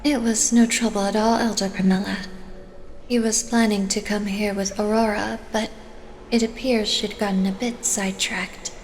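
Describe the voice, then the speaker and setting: A woman's voice: medium pitch, little pitch movement, moderate pace, a little hiss or hum, close to an everyday voice. A woman playing a dutiful, reserved vampire subordinate in a fantasy audio drama, formal and detached. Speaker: voice actor. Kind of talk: scripted fantasy character dialogue.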